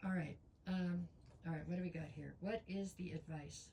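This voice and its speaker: Hoarse woman giving a tarot reading. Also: fairly low pitch, fairly even intonation, moderate pace, warm tone, a little hiss or hum. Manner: chatty, frequent ums, talks straight to viewers. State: losing her voice, stuffy nose. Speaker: tarot reader.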